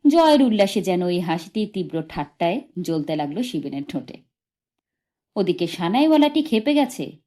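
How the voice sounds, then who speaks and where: Expressive woman reading a story in mellow tones. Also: medium pitch. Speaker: audiobook reader. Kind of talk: scripted literary narration, expressive storytelling.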